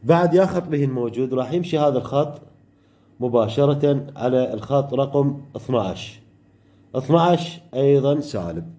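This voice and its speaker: A man with an Iraqi Arabic accent teaching an electronics workshop step by step, pausing often mid-phrase to find the next word. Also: medium pitch, animated, mellow. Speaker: electronics tutor.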